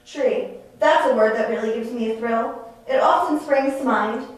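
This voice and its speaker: A woman's voice: a little high, moderate pace, natural voice. A woman playing a reluctant, sullen spirit servant who longs for freedom, acted theatrically for a puppet play. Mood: resentful, wistful. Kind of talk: stage puppet-play acting. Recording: very echoey room, distant microphone.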